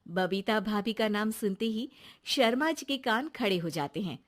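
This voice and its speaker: A woman's voice: mid-range voice, lively intonation, moderate pace, voice pushed down, natural voice. A woman narrating a scripted comic cartoon story.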